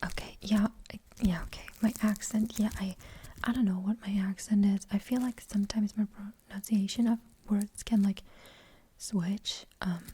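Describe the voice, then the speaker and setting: A woman's voice: medium pitch, fairly even intonation, normal speed, warm tone, breathy edge. A young woman from Germany with a light German accent, whispering a rambling, hesitant ASMR question-and-answer chat. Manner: rambling, hesitant, many fillers. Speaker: ASMR creator.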